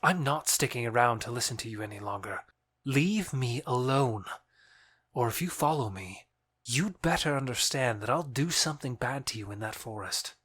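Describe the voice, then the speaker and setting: A scornful young man played by an actor in an audio drama. He is curt and exasperated, with a mid-pitched voice in a dry, close recording. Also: animated, moderate pace, a bit bright, breathy edge, no echo. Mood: threatening. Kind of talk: theatrical audio drama acting.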